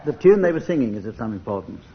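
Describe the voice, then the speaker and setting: A man's voice: on the low side, sing-song, quick pace, creaky. Male panel game host, slightly muffled. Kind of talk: unhurried radio comedy show hosting. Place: radio studio with live audience. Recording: muffled old radio recording, faint noise.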